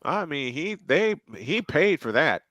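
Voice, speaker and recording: Male play-by-play caller, pitch jumps on big plays, repeats himself; dry, close sound. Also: very animated, unhurried, fairly bright tone, no room sound. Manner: rises sharply in pitch and volume on big plays, repeats phrases. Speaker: play-by-play announcer. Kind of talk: live football play-by-play commentary.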